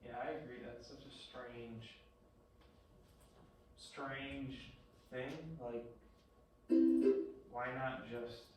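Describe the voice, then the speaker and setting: A man's voice: medium pitch, little pitch movement, extremely slow, long pauses, loud music behind the voice. A man teaching a history lesson in casual, joking conversation, quizzing a student. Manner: jokey, conversational, quizzing questions. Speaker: high school history teacher. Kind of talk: casual one-on-one classroom teaching. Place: classroom. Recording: echoey room, far-off mic, background noise.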